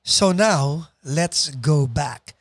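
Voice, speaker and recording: A Filipino-accented man hosts a podcast in a fairly high voice. He interviews casually and attentively, dropping in short affirmations. The recording is dry and free of room echo. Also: lively intonation, drawn-out words. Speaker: podcast host.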